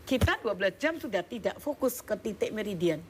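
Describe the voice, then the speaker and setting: A woman's voice: mid-range voice, big pitch swings, fairly quick, slight background noise. A woman doctor appearing as a guest on a television health talk show, explaining treatments in a chatty way with casual fillers. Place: TV studio.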